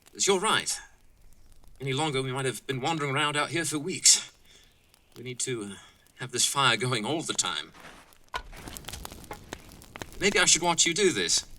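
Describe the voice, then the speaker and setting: A man's voice: medium pitch, sharp tone, slight background noise. A man playing an earnest, talkative young college student camper, chatty but hesitant and musing, in an audio drama.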